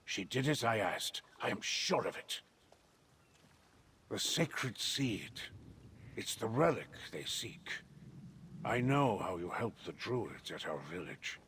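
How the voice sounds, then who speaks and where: An actor playing a proud, wary druid in a fantasy video game, speaking gravely and solemnly with a rough-edged voice. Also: male voice, fairly low pitch, sing-song, slow pace, pauses often, fairly bright tone, a little hiss or hum, heavily acted. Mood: weary, sure. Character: wary, proud male druid of an island circle, grave and guarded.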